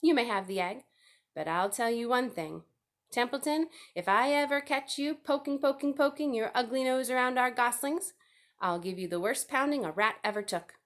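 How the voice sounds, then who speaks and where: A woman's voice: on the high side, expressive, moderate pace, pitched above the performer's own voice, natural voice. A proud storybook gander, acted out by a woman, male and fiercely protective. He blusters and repeats his words as he lays down threats. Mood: stern threatening.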